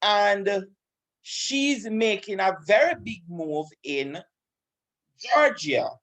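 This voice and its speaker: A man giving a history lesson over slides, slow and repeating himself as he corrects his points. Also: sits high, expressive, fairly bright tone. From Jamaica. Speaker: teacher.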